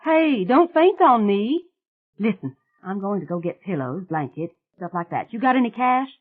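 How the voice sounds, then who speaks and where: Woman reader doing a fast bossy young gal, take-charge, thin phone-ish audio. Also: medium pitch, big pitch swings, voice pushed up. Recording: tinny phone-like sound.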